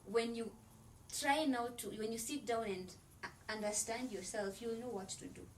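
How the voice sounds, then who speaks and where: A woman's voice: a little high, fairly even intonation, normal speed, recorded from a distance, a little hiss or hum, low-quality recording. A woman podcast guest in an earnest, preacherly, faith-centered conversation, counting off her points and often filling with "like.". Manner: loose filler-heavy phrasing with frequent "like". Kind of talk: faith-based motivational conversation.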